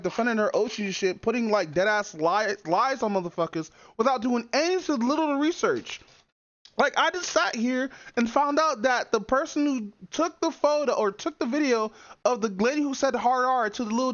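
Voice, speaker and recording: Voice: sits high, fairly bright tone, highs cut off. A streamer with a feminine-sounding voice, talking casually over a reaction video in a profane, rambling way full of fillers and restarts.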